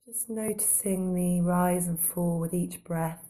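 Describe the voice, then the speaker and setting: A woman guiding a body scan meditation in a calm, gentle, unhurried manner, giving slow soothing instructions with long pauses. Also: fairly low pitch. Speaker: meditation guide.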